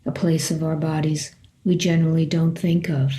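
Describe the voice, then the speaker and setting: A woman meditation teacher leading a guided body scan in a gentle, unhurried way, leaving long pauses between short phrases. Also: low-pitched, level tone.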